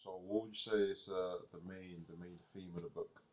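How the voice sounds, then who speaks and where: A man's voice: deep voice, fairly even intonation, fairly quick. A man co-hosting a casual book discussion podcast, laid-back and often murmuring agreement. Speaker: podcast co-host.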